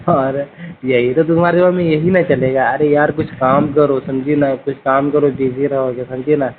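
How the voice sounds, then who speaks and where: A man's voice: mid-range voice, fairly even intonation, measured pace. Male, eastern Indian Hindi accent, insistent questioner, casual phone talk, narrowband with some background noise. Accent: eastern Indian Hindi, Bihar-Jharkhand region. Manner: insistent, pressing with repeated questions. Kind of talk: casual private phone conversation. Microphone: phone line. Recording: noisy, narrowband phone call recording.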